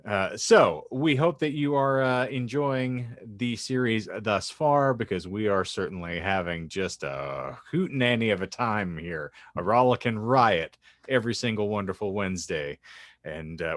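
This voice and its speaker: A man's voice: lowish voice, no echo, clean studio sound. A man hosting a podcast with casual banter and a wordy, playfully florid way of talking. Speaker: podcast host. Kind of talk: casual scripted-intro banter.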